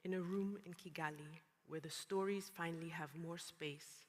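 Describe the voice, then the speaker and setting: Woman, measured poetic delivery, conference stage, reverberant hall. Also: lowish voice, steady tone, a little mellow. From Rwanda. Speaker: symposium speaker. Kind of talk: spoken-word personal testimony.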